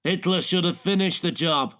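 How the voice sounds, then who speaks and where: A man's voice: high-pitched, normal speed, sharp tone, voice pushed up. A man voicing an outspoken man giving a blunt, emphatic recollection in a nonfiction audiobook. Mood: scornful.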